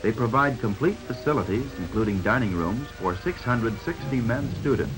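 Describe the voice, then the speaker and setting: Male American newsreel announcer, 1950s style, mid-pitched, scripted delivery over a prominent music bed. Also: animated, moderate pace, rough recording. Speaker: newsreel narrator. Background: background music.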